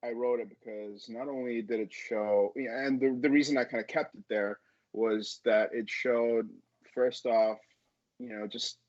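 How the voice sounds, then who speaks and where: A man talking reflectively and haltingly as an author guest in a casual discussion. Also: fairly low pitch, a little mellow, no room sound. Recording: dull, close dry audio.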